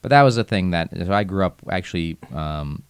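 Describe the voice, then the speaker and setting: A man's voice: low voice, fairly quick. An American man from Philadelphia, a podcast guest speaking thoughtfully, with some hesitation, in casual conversation. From United States, Philadelphia.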